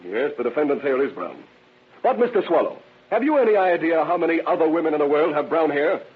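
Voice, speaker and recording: An actor playing a pressing, emphatic defense attorney in an old radio drama. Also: male voice, on the high side, sing-song, quick pace. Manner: pressing, emphatic cross-examination. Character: sharp, challenging male defense attorney. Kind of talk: 1940s radio acting. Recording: thin, muffled old radio recording.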